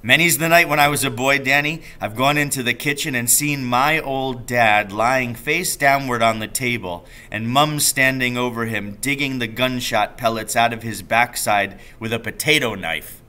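A man's voice: mid-range voice, moderate pace, few pauses, pushed and bright, natural voice. A man voicing an enthusiastic, roguish father in a storybook, telling tales with emphatic relish.

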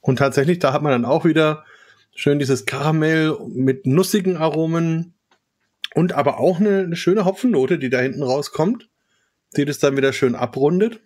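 A man hosting a beer podcast, describing a beer's aroma and taste in a relaxed, savoring way. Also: on the high side, normal speed. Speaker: podcast host. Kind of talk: beer tasting interview.

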